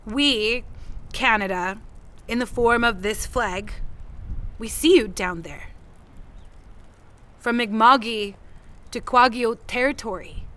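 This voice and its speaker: Slow, bright-voiced, defiant woman. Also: fairly high pitch, full character voice. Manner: deliberate, pointed, with weighted pauses. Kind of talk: naturalistic audio drama dialogue.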